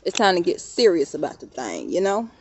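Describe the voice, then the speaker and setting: A woman in her late thirties chatting casually to viewers in a vlog, laughing often, recorded outdoors on her phone in a quiet park. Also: on the low side, huge pitch swings, fairly quick, a little hiss or hum. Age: 30s. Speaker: vlogger.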